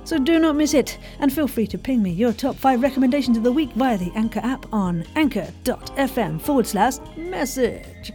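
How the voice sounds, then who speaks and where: A woman with a fairly high voice hosts a chatty podcast. She is effusive and playful, with a theatrical flair to how she talks. Faint music sits behind her voice. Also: lively intonation, moderate pace, few pauses, warm tone. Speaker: podcast host and interviewer. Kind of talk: enthusiastic casual podcast chat.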